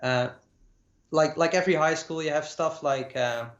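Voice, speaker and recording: A man's voice: a little high, moderate pace. Man, late 20s, Dutch accent, hesitant, lots of fillers, roomy echo, muffled lo-fi sound. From Netherlands. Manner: hesitant, many uh fillers and repeated words. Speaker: guest compositing artist. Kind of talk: casual talk about his career. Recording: echoey, dull lo-fi sound.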